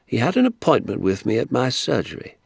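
A man voicing an excitable little old country doctor in an audiobook, kindly and indignant, with emphatic, drawn-out, theatrical delivery. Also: medium pitch, creaky, slightly rough, no echo, clean studio sound, pitched above the performer's own voice, exaggerated voice. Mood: indignant relish. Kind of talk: acted audiobook dialogue.

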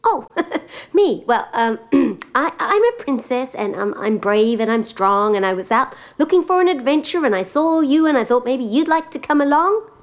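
A woman's voice: high-pitched, lively intonation, moderate pace, voice pushed up. A woman voicing a brave young princess who speaks hesitantly and stammers. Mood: shy.

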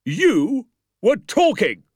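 A man's voice: very high voice, wildly swooping pitch, drawn-out words, a little mellow, husky, dry recording, clean studio sound, pitched above the performer's own voice, full character voice. A man voicing a tyrannical, contemptuous ex-army schoolmaster in an acted audiobook, barking and menacing. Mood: furious barking.

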